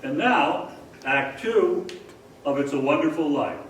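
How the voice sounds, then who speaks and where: A man's voice: on the high side, lively intonation, measured pace. A man narrating a staged old-time radio play as its announcer. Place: performance hall. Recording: echoey hall, distant mic, faint noise.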